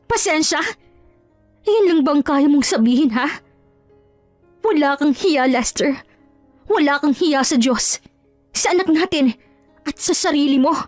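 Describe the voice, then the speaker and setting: A woman's voice: high-pitched, animated, unhurried, pauses often, no room sound, faint music behind, pitched above the performer's own voice. A woman voicing a betrayed wife in an audio drama, anguished, accusing and emphatic. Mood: bitter, furious. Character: betrayed wife, furious, anguished, accusing, confronting cheating husband.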